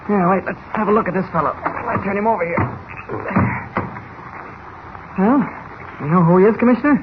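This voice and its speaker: Man acting high-pitched mild reporter, old radio. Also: fast, lightly acted. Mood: breathless, modest. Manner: hesitant, feigned innocence. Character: Clark Kent, mild, evasive, amiable newspaper reporter. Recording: noisy, muffled old radio recording.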